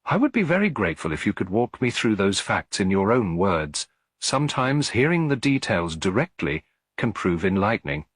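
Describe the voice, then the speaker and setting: A man voicing a polite, gentle, inquisitive lady detective in an audiobook, deferential and softly probing. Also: lowish voice, very animated, normal speed, breathy edge, no room sound. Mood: gently probing. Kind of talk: audiobook character dialogue.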